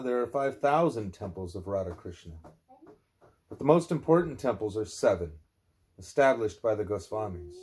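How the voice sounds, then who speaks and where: A man with a measured, plain-spoken way of talking, reading aloud or speaking as a parent at home. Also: mid-range voice, a little mellow. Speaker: reader, parent putting a child to bed. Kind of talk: reading a religious text aloud, with quiet parental chat.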